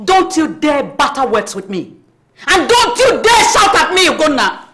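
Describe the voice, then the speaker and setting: An actress playing a domineering middle-aged mother, scolding and shouting at her grown son. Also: sits high, big pitch swings, normal speed, slight background noise. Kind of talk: melodrama acting.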